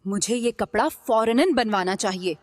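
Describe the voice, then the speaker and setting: A woman's voice: on the high side, animated, normal speed, darkish tone, lightly acted. A woman playing a vain, imperious young princess in a dramatised fairy tale. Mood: eager, haughty.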